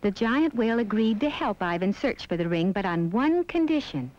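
A woman's voice: mid-range voice, lively intonation, normal speed, a little mellow, no room sound. A woman storyteller reading scripted narration for a children's fairy tale. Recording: dull lo-fi soundtrack with music behind. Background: background music.

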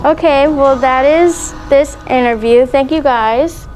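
A woman's voice: high-pitched, takes it slowly, music in the background, rough recording. A woman speaking casually as a vlog host and interviewer. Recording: faint background noise.

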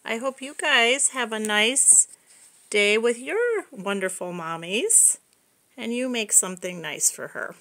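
Bright-voiced woman reading to children. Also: on the high side, slow. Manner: expressive, explains words simply to children. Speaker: preschool story reader. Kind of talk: read-aloud storytelling for young children.

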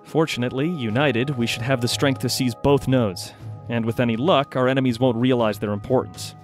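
A man acts as a weary but brisk wizard leader in a fantasy audio drama. He lays out plans in a measured, businesslike way at a middling pitch, with music underneath. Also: fairly even intonation, natural voice. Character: brisk, weary male wizard leading a war council. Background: background music.

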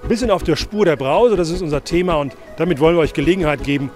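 A middle-aged man with a slightly raised voice gives a measured, friendly welcome. He speaks outdoors into a handheld microphone, with light music faintly behind him. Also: a little high, darkish tone. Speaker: school staff welcoming visitors. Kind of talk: scripted welcome speech. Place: outdoors in a schoolyard. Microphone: handheld reporter mic.

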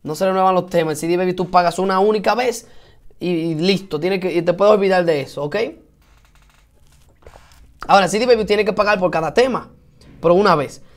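A Dominican man with a fairly high voice for a man, talking casually on a live stream from home. He is chatty and upbeat, greets his viewers by name and answers their comments, and he repeats his points for emphasis. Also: brisk, pauses often. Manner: lively and encouraging, repeats himself for emphasis. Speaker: host of a live stream for musicians.

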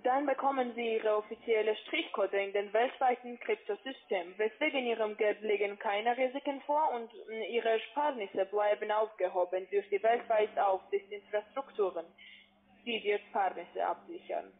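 A woman's voice: mid-range voice, normal speed. Foreign-accented woman on a tinny phone line. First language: not German, speaks it as a second language. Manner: hesitant, tags phrases with questioning checks. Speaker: call-centre caller posing as financial adviser. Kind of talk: phone sales pitch, explaining. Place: phone call.

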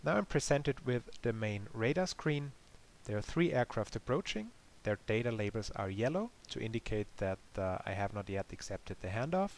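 A man's voice: fairly low pitch, big pitch swings, moderate pace. A man giving a matter-of-fact, explanatory tutorial voice-over for a simulator game. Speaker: developer demonstrating a simulator game. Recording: clear background hiss.

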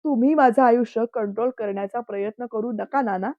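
A woman's voice: medium pitch, a little slow, no echo, lightly acted. Woman voicing headstrong young guy, heated and insistent, dull dry sound. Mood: angry. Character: defiant young man in love, hot-tempered.

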